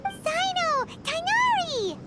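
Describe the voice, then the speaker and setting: A woman voicing a tiny, excitable, inquisitive fairy companion in a video game, with a squeaky childlike voice. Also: takes it slowly, very noisy, heavily acted. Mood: excited, curious. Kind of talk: English video game voice acting. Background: game music.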